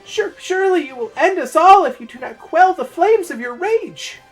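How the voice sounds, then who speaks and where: A man's voice: extremely high pitch, expressive, normal speed, faint music behind, pitched above the performer's own voice. A man voicing a terrified young village boy in a fantasy story, stammering and pleading in trembling tones.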